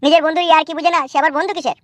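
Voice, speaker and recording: A man's voice: very high-pitched, steady tone, rapid-fire, a bit bright, no echo. A man voicing a cheeky, touchy young male sidekick in a comedy cartoon, grumbling and teasing. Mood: sulky. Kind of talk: amateur comedy cartoon dub.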